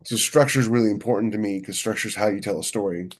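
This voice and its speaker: A man's voice: on the low side, quick pace. A man co-hosting a podcast, talking casually and in a rambling way about his craft as a writer. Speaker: podcast co-host and novelist.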